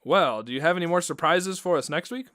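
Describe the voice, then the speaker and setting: A man's voice: a little high, fairly quick, fairly bright tone, no echo, clean studio sound. A man hosting and announcing a scripted radio drama in an interviewer's conversational style. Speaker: host and announcer.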